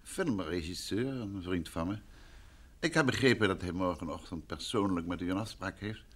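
Animated, probing radio-play detective. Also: male voice, low-pitched, moderate pace. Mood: composed, inquiring. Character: composed, probing male detective questioning politely. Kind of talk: Dutch radio drama acting. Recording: old radio play, background noise.

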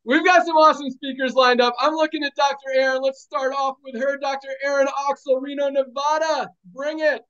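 A man in his forties hosting a video call with energetic motivational cheers. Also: extremely high pitch, brisk, few pauses, lo-fi sound. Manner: energetic, pep-talk cheers. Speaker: host.

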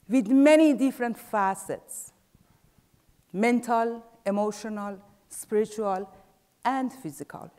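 A middle-aged woman from Iran with a Persian accent, giving a prepared talk on a theater stage in a measured way, her voice fading softly at the end of each phrase. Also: on the high side. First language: Farsi. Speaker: speaker. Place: large dark theater stage. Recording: theater with long reverb.

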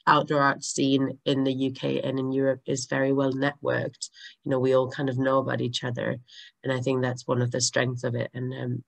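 A woman speaking on an online panel with a croaky voice, in a reassuring, thoughtful way. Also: extremely low pitch.

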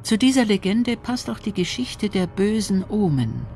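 A woman's voice: mid-range voice, very animated, moderate pace, over music. A woman narrating a scripted radio documentary.

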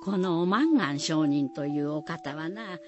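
A woman's voice: low-pitched, slow, dull audio, over music. A woman narrating a radio folktale as a storyteller, in folksy rustic dialect, addressing listeners directly.

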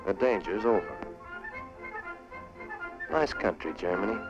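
Deep, stern American newsreel-style male narrator. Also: expressive. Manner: stern, clipped, emphatic, dramatic pauses. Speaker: narrator of military training film. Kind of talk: 1940s propaganda film narration. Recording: muffled 1940s film soundtrack with music.

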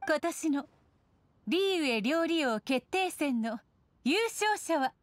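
An elegant noblewoman, with a high voice and slow, deliberate delivery. She is stately and composed, in anime game style. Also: expressive, no room sound. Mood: composed, approving. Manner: refined, deliberate.

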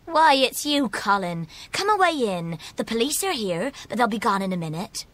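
A woman playing a kindly, brisk housekeeper in a children's audio drama. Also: fairly high pitch, sing-song, fairly bright tone, a little hiss or hum.

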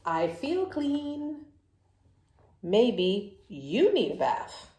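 A stubborn, melodramatic storybook pigeon , acted out by a woman reader, emphatic and childishly argumentative. The pitch jumps up and swoops, and the words ring in an echoey room. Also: wildly swooping pitch, drawn-out words, background noise, full character voice. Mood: indignant. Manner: emphatic, exclaiming, protesting. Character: dirty, stubborn, dramatic cartoon pigeon who argues like a child.

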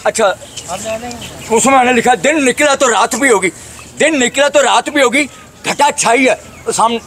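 A man's voice: very high-pitched, fairly bright tone. An older man outdoors on a lakeside promenade, playfully and theatrically reciting couplets and joking. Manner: theatrical, jokey, drawn-out sung lines. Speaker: passerby reciting poetry. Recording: faint outdoor noise.

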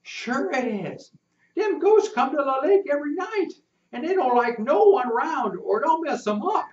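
Man putting on a squeaky scruffy boy. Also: very animated, unhurried, slight background noise, heavily acted. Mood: spooked. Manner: rustic ungrammatical talk. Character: scruffy boastful boy of about ten. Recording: roomy and distant, muffled.

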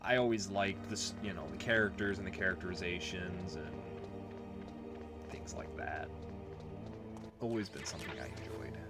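A man's voice: low voice, normal speed, long pauses, over loud music. A man hosting a let's play with informal, rambling commentary. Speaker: gaming video host.

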